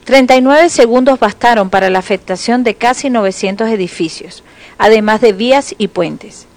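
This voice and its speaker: A woman's voice: fairly high pitch, moderate pace. A woman reading scripted narration as a television news reporter. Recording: faint background noise.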